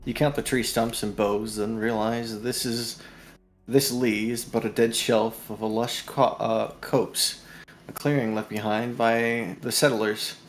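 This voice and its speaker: A man's voice: lowish voice, level tone, unhurried, slight background noise. A man reading descriptive narration from interactive fiction aloud on a live stream. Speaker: co-reader on a gaming live stream.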